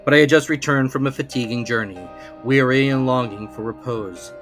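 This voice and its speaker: A man's voice: medium pitch, fairly quick, a bit bright, soft background music. A man giving a theatrical, formal dramatic reading of an adventure novel as its narrator. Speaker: narrator, reader.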